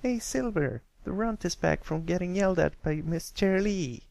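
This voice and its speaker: Man putting on a young bully filly, haughty, taunting, high-pitched, storybook character, dry sound. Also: normal speed, gravelly, a little mellow, dry recording.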